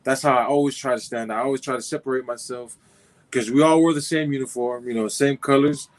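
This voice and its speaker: A man's voice: mid-range voice, steady tone, fast. A man in his twenties from Southern California, a football player answering interview questions in a laid-back, rambling way. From Compton, California. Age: 20s. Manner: laid-back, rambling, many fillers and restarts. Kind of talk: casual interview.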